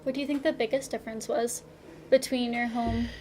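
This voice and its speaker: A woman interviewer who asks questions and gently prompts with short affirmations. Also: fairly high pitch, fairly even intonation, a little fast, a little hiss or hum, poor audio.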